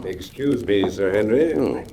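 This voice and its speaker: An actor playing a sombre, deferential butler in a radio drama, speaking formally and with servile restraint. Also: male voice, on the low side, expressive, slow pace, vocal fry, noisy background, exaggerated voice. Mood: formal welcome. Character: sombre, deferential male butler of an old manor.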